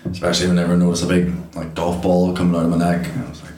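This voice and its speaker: A man's voice: low-pitched, monotone, very fast, dark tone, distant mic. A man, a podcast guest telling his story in a plain, matter-of-fact way. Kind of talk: casual chat. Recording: echoey room, off-mic, faint noise.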